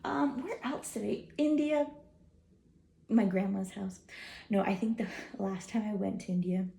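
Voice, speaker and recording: A woman's voice: medium pitch, measured pace, gravelly, mellow. Chatty young woman in an echoey room. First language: English, some Hindi learned. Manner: rambling, chatty, lots of fillers. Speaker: travel vlogger. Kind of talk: casual chat.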